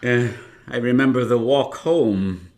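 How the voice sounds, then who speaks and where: A man's voice: medium pitch, unhurried, close to an everyday voice. An actor playing a genial older man reminiscing as narrator in a radio drama, with chatty, hesitant asides. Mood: wry, fond. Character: older male narrator reminiscing about his past adventures, genial, wistful. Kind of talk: live radio drama storytelling.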